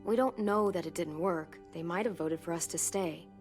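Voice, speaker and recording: A woman playing a protective, patient teenage girl looking after a child, in naturalistic game acting with a steady delivery. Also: fairly low pitch, normal speed, lightly acted. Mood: soothing. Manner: gentle, coaxing, steady. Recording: music clearly behind the voice.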